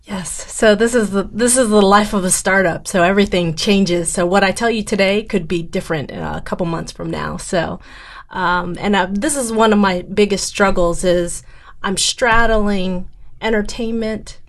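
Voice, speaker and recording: A woman's voice: mid-range voice, a little slow. A woman startup founder answering interview questions in an earnest, step-by-step way.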